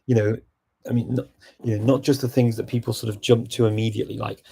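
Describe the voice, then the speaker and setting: A man hosting a casual live Q&A, answering viewer questions with relaxed, reflective advice. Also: mid-range voice, quick pace, gravelly, breathy edge.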